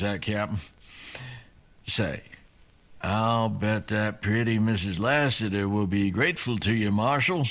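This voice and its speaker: A man's voice: lowish voice, fairly bright tone. A man playing a plain-spoken, wry Texas lawman sergeant in a western radio drama, with a folksy drawl.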